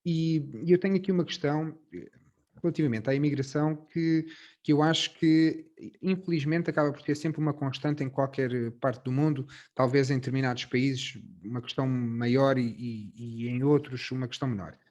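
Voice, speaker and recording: Portuguese male interviewer, medium pitch. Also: steady tone, normal speed, darkish tone, muffled recording. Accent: European Portuguese. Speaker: podcast host, interviewer. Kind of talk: conversational interviewing.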